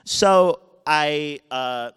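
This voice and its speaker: A man in his twenties with a naturally loud voice, telling a personal story live to an audience, halting and often restarting his sentences. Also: a little high, clean tone. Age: 20s. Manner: halting, with many restarts and self-corrections. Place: university event space. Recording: live talk with long hall reverb.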